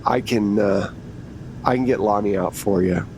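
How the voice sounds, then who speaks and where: A man's voice: lowish voice, normal speed, a little mellow, close to an everyday voice. Man acting a businesslike female agent, noisy recording. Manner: businesslike, bargaining. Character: dealmaking female government agent, coolly in control.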